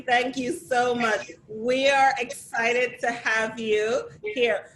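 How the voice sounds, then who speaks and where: A woman hosting an interview over a video call, upbeat and welcoming, thanking her guest warmly. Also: sits high, a little hiss or hum, rough recording. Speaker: host. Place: New York City.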